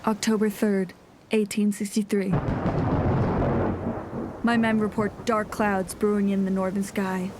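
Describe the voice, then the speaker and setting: A woman playing a grave nineteenth-century ship's captain, reading out a log in a formal, measured way for an audio drama. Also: medium pitch, level tone, heavy background noise, close to an everyday voice. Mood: composed, resolute. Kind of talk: scripted audio drama narration. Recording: music under the voice.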